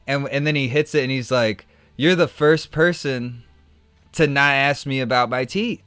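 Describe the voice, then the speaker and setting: A man's voice: mid-range voice, moderate pace, clear, resonant tone, no room sound, soft background music. A man live-streaming a game and chatting casually, telling a rambling story with lots of restarts and fillers. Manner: animated, rambling, many restarts and "like". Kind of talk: casual storytelling while gaming.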